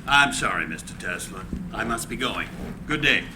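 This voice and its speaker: A man's voice: lowish voice, animated, moderate pace, close to an everyday voice. A man playing an apologetic, evasive businessman, polite but firm, in a dramatic screenplay reading. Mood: apologetic, dismissive. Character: evasive, apologetic male businessman brushing off a petitioner. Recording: noisy live room recording.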